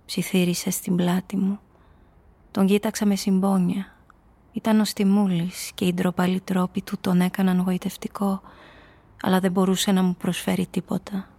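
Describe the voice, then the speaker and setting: An actress playing a calculating seductress who narrates her own story in a radio drama, measured and intimate. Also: medium pitch, level tone, creaky, dark tone, husky. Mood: cool, pitying.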